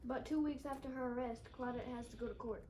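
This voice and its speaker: A woman's voice: on the high side, steady tone, quick pace, dark tone. A woman reading scripted narration aloud for a readers theater play. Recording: faint background room noise.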